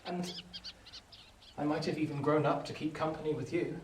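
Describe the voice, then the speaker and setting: An actor playing a discontented, lovesick working-class youth who longs to be a gentleman, earnest and impassioned in a radio drama style. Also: fairly high pitch, steady tone, moderate pace, natural voice. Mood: wistful. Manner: earnest, impassioned, swinging from petulant to wistful. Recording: roomy echo, distant mic, faint hiss.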